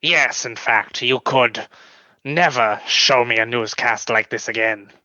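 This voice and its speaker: A man acts an aloof, proud alien officer for a sci-fi radio play. His voice is very bright and forceful at a middling pitch, with formal, clipped and dismissive phrasing. The audio is slightly dull. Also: unhurried. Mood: haughty, dismissive. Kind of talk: sci-fi radio drama dialogue.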